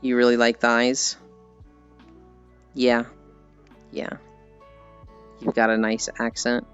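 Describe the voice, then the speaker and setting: A man with an American accent talks casually to his livestream audience in a clean, smooth voice. He rambles and pokes fun at himself with the odd swear word. The audio is a little muffled, and music plays faintly behind him. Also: medium pitch, completely flat delivery, slow pace. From United States. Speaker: livestreamer chatting with viewers. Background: background music.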